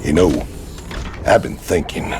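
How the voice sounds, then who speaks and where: An actor playing a burly, roguish outlaw marine in a video game, with a folksy Southern American drawl and a gravelly voice. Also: male voice, expressive, unhurried, music in the background. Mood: gruff, wry. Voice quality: deep, gravelly. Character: burly roguish male outlaw marine, gruffly jovial, battle-hungry. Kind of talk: video game cutscene acting.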